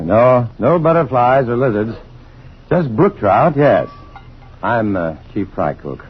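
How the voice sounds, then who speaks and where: A man's voice: mid-range voice, big pitch swings, takes it slowly. A man acting in a radio drama as a genial scientist, speaking in an easygoing, conversational way. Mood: genial, relaxed. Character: genial male scientist camping in the woods. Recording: dull, noisy old radio drama recording.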